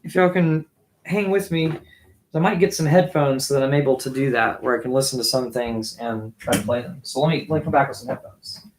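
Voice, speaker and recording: A man in his thirties, hoarse from losing his voice, chatting casually on a live stream from a small home music room, musing and restarting his sentences. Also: mid-range voice, a little fast, a little hiss or hum, poor audio. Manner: musing, trailing off with restarts while playing. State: losing his voice, throat clearing. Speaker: livestream host and bassist. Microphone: webcam mic at desk distance, wears headphones.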